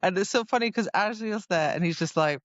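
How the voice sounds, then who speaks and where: A woman's voice: medium pitch, fairly quick, no echo. Slightly muffled dry mic, woman podcast host chatting casually, exclaims a lot, quotes people. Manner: informal, exclamatory, quotes reactions. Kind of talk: casual book chat.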